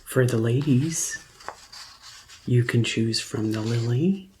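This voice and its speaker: A woman doing a soft-spoken, often whispered ASMR roleplay as a gentle, deliberate receptionist attending to a customer. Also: very deep voice, noisy background. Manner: slow, gentle, deliberate customer-service delivery. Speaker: ASMR performer playing a vampire conversion receptionist.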